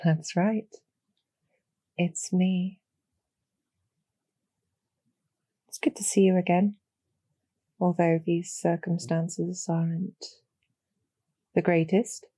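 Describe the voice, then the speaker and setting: A woman playing a kind, calm demon general in a roleplay audio drama, speaking in a measured, formal and gently persuasive way. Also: lowish voice, dry recording. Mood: calm, wry.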